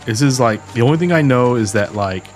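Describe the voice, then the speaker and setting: A man from the USA giving relaxed, chatty commentary while streaming a game and talking to his chat from home. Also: mid-range voice, a little fast, faint music behind. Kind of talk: casual gameplay commentary.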